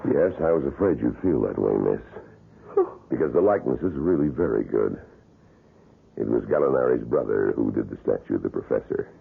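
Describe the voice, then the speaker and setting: A grim but courteous wax museum attendant from a seventies radio thriller. His voice is rock-bottom low and heavily husky, telling dark tales with suspense. The broadcast sound is dull and lo-fi. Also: expressive, brisk, full character voice. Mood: calm, sombre. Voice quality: very deep, gravelly, husky. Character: gravelly male wax museum attendant, grimly recounting a murder, polite. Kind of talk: 1970s radio drama acting, suspenseful storytelling.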